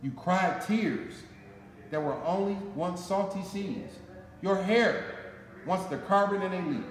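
A man in his forties with an American accent reads a children's story aloud in a deliberate, dramatic way, with emphatic phrasing. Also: sits high. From Detroit, United States. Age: 40s. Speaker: firefighter reading a picture book aloud. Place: concrete-floored fire station garage, indoors. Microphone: camera a short distance away. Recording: echoey hall, noticeable background noise.

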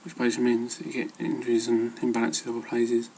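A man's voice: medium pitch, level tone, quick pace, gravelly, dark tone, slight background noise, low-quality recording. A man giving casual, rambling advice as an amateur fitness vlogger, hesitant and loosely worded.

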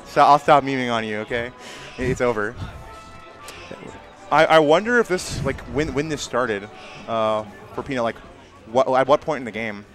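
A man with a naturally loud voice calling a live tournament match, quick to burst into excitement at big moments. Music plays clearly underneath him as he talks. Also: medium pitch. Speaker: esports commentator. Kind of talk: live play-by-play commentary. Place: tournament venue.

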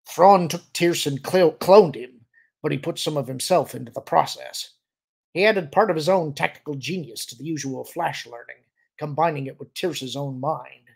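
Fanatical, vengeful military major, acted by a male narrator. Agitated, sneering, insistent, gravel in the throat, forceful and loud. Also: on the high side, normal speed, pitched above the performer's own voice. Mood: agitated, shouting.

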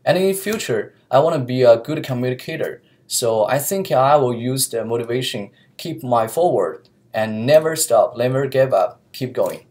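A young man from China with a Chinese accent, answering interview questions earnestly in his second language, often pausing with fillers and restarting sentences, recorded on a laptop webcam in a small office. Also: mid-range voice, expressive, a little mellow. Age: young adult. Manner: earnest, searching for words, frequent fillers and restarts. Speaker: student interviewee. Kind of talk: mock job interview. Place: small office room with whiteboard, indoors. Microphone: laptop webcam mic.

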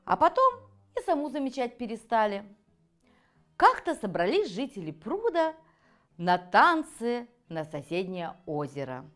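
A middle-aged woman reading a children's fairy tale aloud in an expressive storytelling style. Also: mid-range voice. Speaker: children's writer reading her own tale. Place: cosy furnished room set, indoors.

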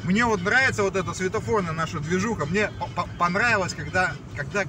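A man's voice: sits high, quick pace, far from the mic. A man vlogging casually from a moving car, rambling and joking as he repeats himself. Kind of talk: casual rambling chat while driving. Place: inside a moving car at night. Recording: loud car engine and road noise.

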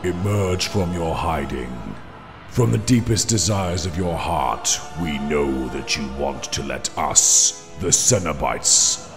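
Demon priest, male: extremely deep, slow, solemn menace, formal commands, music behind. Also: exaggerated voice. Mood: menacing. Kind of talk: audio drama acting.

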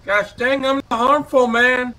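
A man's voice: extremely high pitch, a little slow, sharp tone. A man improvising an indignant, complaining suspect in a game role-play.

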